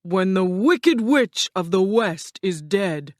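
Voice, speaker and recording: A woman voicing a terrible, commanding wizard in the form of a ball of fire for a storybook, booming and imperious. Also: animated, slow pace, dry recording, studio quality.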